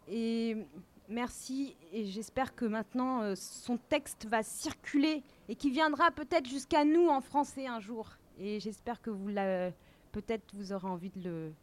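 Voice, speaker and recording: Higher-voiced woman, halting and heartfelt. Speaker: guest speaker on a live radio show. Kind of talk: unscripted personal testimony before an audience.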